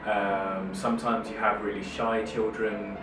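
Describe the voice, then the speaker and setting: A man who teaches English at a primary school, answering interview questions slowly and thoughtfully with frequent ums. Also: lowish voice, little pitch movement. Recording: echoey room, distant mic, music bed. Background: music.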